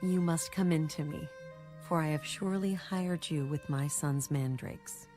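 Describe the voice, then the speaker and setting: Proud resentful wife from bible times, deep for a woman, formal deliberate lines, music behind. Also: a little mellow. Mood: insistent. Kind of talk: dramatized audio Bible acting.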